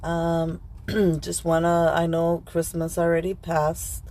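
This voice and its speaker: A middle-aged woman vlogger with a slightly hoarse voice from a cold, chatting casually and rambling while she eats lunch in her car. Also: lowish voice, monotone, takes it slowly, darkish tone. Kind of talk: casual chat.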